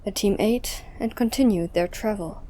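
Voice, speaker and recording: A woman's voice: mid-range voice, normal speed, dark tone. A woman narrator reading scripted story narration for an audio drama.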